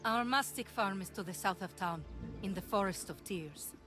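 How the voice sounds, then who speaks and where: A woman's voice: medium pitch, moderate pace, music in the background, close to an everyday voice. A woman playing an earnest, troubled townswoman in a video game, with an emotional delivery. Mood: contrite, pleading. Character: earnest, troubled Greek townswoman pleading for help. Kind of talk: video game cutscene acting.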